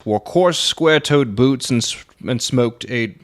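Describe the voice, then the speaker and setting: A man's voice: medium pitch, measured pace, noisy background. A man performer in a studio, bantering casually and retaking scripted lines. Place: recording studio.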